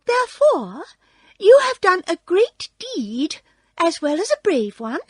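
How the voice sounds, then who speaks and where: A woman voicing a tiny, proud queen of the field mice in a squeaky, imperious storybook voice. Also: sing-song, slow pace, no room sound, exaggerated voice. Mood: regal. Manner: imperious, emphatic. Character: tiny regal field mouse queen, female, indignant and proud.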